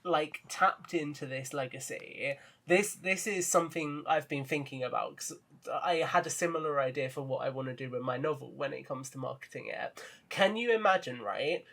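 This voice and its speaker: A man's voice: mid-range voice, normal speed, vocal fry, a bit bright. A man speaking as a podcast guest in casual, enthusiastic conversation. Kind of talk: casual chat.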